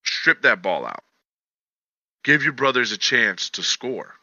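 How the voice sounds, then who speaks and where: Football coach guy, emphatic, very bright voice, kinda muffled lo-fi audio. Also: medium pitch, sing-song, slow pace, dry recording. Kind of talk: casual sports podcast talk.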